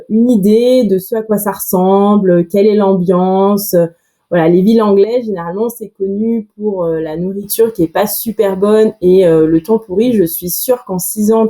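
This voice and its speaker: A woman hosting a podcast, reading a clear intro and then asking chatty questions with fillers. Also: mid-range voice, warm tone. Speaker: podcast host. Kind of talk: scripted intro, then interviewing.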